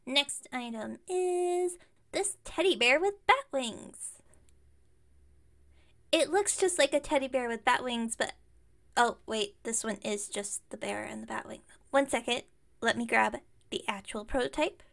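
A woman's voice: on the high side, animated, unhurried, creaky, fairly bright tone, full character voice. A woman playing an enthusiastic, scatterbrained young witch shopkeeper in an ASMR roleplay, chatting and rambling to the listener. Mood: chatty, eager. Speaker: voice actor in audio roleplay. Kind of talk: ASMR roleplay, one-sided conversation with listener.

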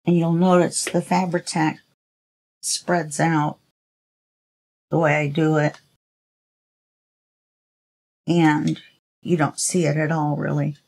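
A woman's voice: low-pitched, flat delivery, takes it slowly, gravelly, long pauses, darkish tone. A woman hosting a craft tutorial, casually chatting to herself as she works, a little sniffly. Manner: chatty, talks to herself while working. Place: craft table at home. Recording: noisy home recording.

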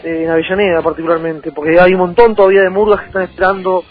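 A young man with an Argentine Rioplatense accent giving a political radio interview, halting and repeating himself as he rephrases. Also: high-pitched, level tone. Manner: halting, repetitive, circling back to rephrase. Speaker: interview guest, local political candidate. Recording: thin phone-like line, music behind.

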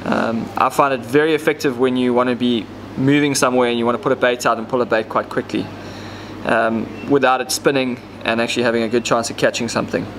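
Relaxed man demonstrating tackle. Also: medium pitch, fast, a little hiss or hum, low-quality recording. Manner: relaxed, explains step by step with frequent ums. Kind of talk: casual how-to demonstration. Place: indoor tackle shop counter.

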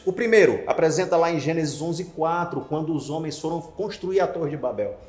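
A man in his thirties giving a devotional Bible lesson to camera, expressive with emphatic rising questions, ending in a quiet prayer. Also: mid-range voice. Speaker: Bible study teacher. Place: indoor studio with wooden slat wall. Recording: roomy with long reverb.

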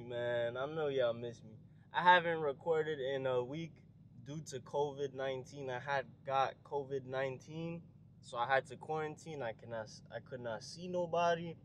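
A young man vlogging casually to his viewers in heavy street slang, getting loud and hyped outdoors around cars. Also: a little high, slight background noise. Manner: hyped slang-heavy street talk, yelling when excited. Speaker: car vlogger. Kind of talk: casual vlog talk to viewers.

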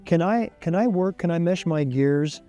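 An older man talks deliberately in an interview, putting questions to himself. His voice is fairly high yet very mellow, with soft music behind it. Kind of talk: documentary interview. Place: outdoors. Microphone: lapel.

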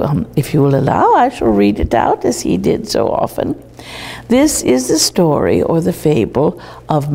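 A woman's voice: low-pitched, vocal fry, dark tone, long reverb tail, exaggerated voice. An older woman playing a genteel grandmotherly eighteenth-century lady, reading a fable aloud to children in a formal, old-fashioned, didactic manner. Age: older, 60s or above. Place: small indoor room, seated.

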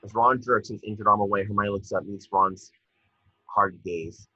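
A man's voice: lowish voice, steady tone, moderate pace, slightly rough, no echo, faint music behind. A man reading stage directions aloud as narrator at a table read, now and then stumbling over words. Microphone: video call. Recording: dull lo-fi video call audio.

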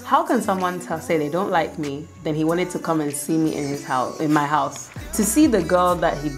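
A woman's voice: low-pitched, moderate pace. A young woman co-hosting a casual video chat in a small room, teasing and amused as she talks. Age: young adult. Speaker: vlog co-host. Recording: music playing behind.

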